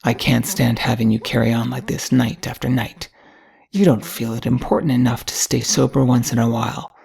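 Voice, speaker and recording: A woman's voice: extremely low pitch, flat delivery, normal speed, creaky, a little mellow, slightly rough, exaggerated voice. A woman reading the part of a stern, earnest young society man in a solemn, reproachful way. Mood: stern, hurt.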